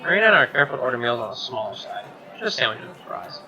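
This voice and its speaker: A man's voice: medium pitch, very animated, rapid-fire, bright, forceful tone, poor audio. A man reading a visual novel aloud as narration, with offhand reactions of his own.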